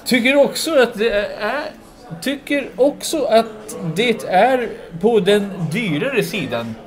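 A man's voice: extremely high pitch, animated. A man in his thirties co-hosting a casual podcast in a pub, joking and reading reviews aloud. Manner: jokey, laughing, quick restarts. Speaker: podcast co-host. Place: busy pub. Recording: noisy pub with crowd chatter. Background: crowd chatter.

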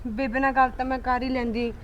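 A woman's voice: high voice, flat delivery, quick pace, highs cut off, natural voice. A woman, playing a young wife who keeps pleading to visit her parents. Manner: pleading, persistent, earnest. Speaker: daughter-in-law.

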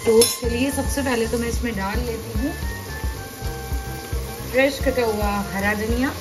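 Woman, home cooking host, slightly high voice, conversational step-by-step explanations, clear music behind. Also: steady tone, unhurried. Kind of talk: step-by-step recipe narration.

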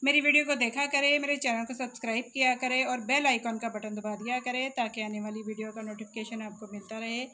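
A woman's voice: fairly high pitch, little pitch movement, fairly quick, hardly any pauses, bright, forceful tone. A woman, hosting a cooking channel and narrating a recipe step by step, addressing viewers directly from a home kitchen. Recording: echoey room with faint background noise.

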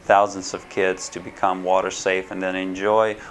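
A man's voice: sits low, completely flat delivery, normal speed, slight background noise. A man in his thirties from Ukraine, seated in a small office and answering interview questions to camera with many fillers and restarts. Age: 30s. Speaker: swim school owner. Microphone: camera framed at chest height, seated.

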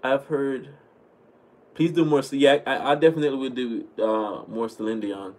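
Relaxed Jamaican man on a livestream. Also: medium pitch, normal speed. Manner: relaxed, chatty, thanks viewers often. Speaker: livestream host reading chat. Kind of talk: casual livestream chat. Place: small home room indoors. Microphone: webcam at desk.

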